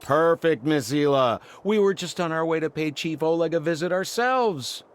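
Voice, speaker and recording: A man playing a glib, theatrical young con artist in a video game, ingratiating and full of flattery. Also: a little high, moderate pace, natural voice. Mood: wheedling.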